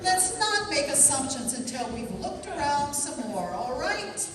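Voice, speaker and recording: Woman as high-voiced, no-nonsense choir lady. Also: big pitch swings, unhurried, recorded from a distance, over a noisy crowd. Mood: firm exasperation. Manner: no-nonsense, exasperated, correcting. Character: Helen, sensible bossy choir lady leading investigation. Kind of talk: amateur radio theatre comedy acting. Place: college radio theatre performance in a hall. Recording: echoey hall from afar, some noise.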